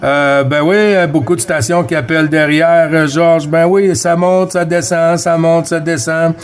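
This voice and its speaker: Higher-pitched Québécois man on CB radio. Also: little pitch movement, measured pace. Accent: Québécois French. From Quebec, Canada. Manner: friendly, addresses others as friend. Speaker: amateur CB radio operator. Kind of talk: CB radio contact, casual exchange with callsigns.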